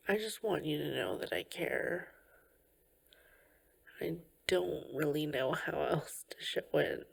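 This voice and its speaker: Soft, breathy, slow-talking caring girlfriend played by a woman. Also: on the low side, full character voice. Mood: earnest reassurance. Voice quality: breathy, often slipping into whispers. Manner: gentle, slow, reassuring, with soft pauses. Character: tender, caring girlfriend comforting a tired partner. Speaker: ASMR roleplay performer. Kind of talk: soft-spoken ASMR comfort roleplay.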